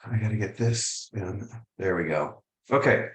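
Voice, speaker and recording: Male presenter, low voice, roomy echo, friendly and self-deprecating on a video call. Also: unhurried, fairly bright tone. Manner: upbeat, conversational, self-deprecating. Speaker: real estate coaching presenter. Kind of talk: webinar presentation.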